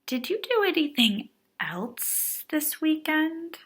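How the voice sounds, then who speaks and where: A woman voicing a kind, gentle schoolteacher in a children's storybook, speaking encouragingly to her pupils. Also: high voice, big pitch swings, takes it slowly, voice pushed up, exaggerated voice. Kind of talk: children's storybook read-aloud.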